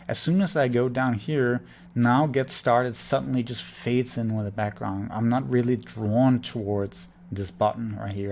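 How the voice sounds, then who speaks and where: A man's voice: mid-range voice, a little mellow, slight background noise. A man giving an unscripted, blunt and opinionated screen-share critique as a marketing consultant. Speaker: conversion-rate marketing consultant.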